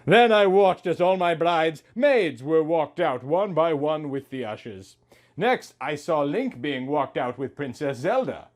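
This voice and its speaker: A man in his thirties reading a story aloud in a melodramatic, mock-sentimental comic style, swinging between hushed and loud. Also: a little high, expressive, unhurried. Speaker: comic host reading out fan fiction.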